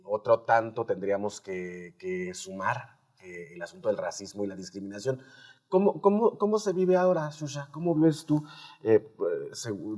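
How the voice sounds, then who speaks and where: A man's voice: fairly low pitch, dark tone. A man with a Mexican accent hosting a conversational interview, explaining emphatically and checking with tag questions. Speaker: host.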